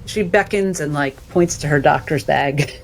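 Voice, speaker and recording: A fairly high, gravelly male voice with faint noise behind it. He is a player in a tabletop game, casually and playfully describing moves. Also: normal speed, poor audio. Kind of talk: casual, joking in-game talk.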